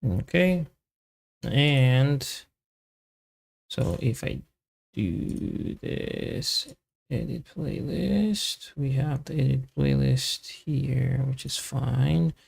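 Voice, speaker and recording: Male developer streamer, casual think-aloud delivery, very slow with long pauses, heavily creaky mid-pitched voice. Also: darkish tone. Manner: halting, muttered fragments with long pauses. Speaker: software developer live streamer. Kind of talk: casual live coding commentary, thinking aloud.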